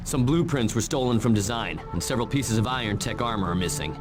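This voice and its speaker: An actor plays a male aide reporting to his superior in a video game. His voice is medium in pitch, formal and matter-of-fact. There is music in the background. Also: expressive, fast, close to an everyday voice. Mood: dutiful. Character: dutiful male subordinate reporting to his boss. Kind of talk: video game cutscene acting.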